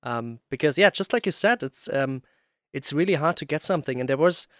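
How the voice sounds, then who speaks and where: A man's voice: fairly high pitch, lively intonation, brisk, fairly bright tone, no echo, clean studio sound. A man hosting a podcast, chatting casually and searching for his words as he tells stories. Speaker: podcast host. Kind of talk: casual interview chat.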